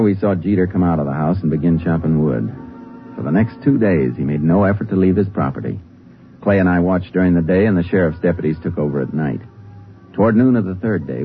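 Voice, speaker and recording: A man playing a steady, shrewd Texas Ranger in 1950s radio drama, speaking in a matter-of-fact, authoritative way. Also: low voice. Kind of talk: 1950s radio drama acting and case narration. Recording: muffled old-time radio recording with music.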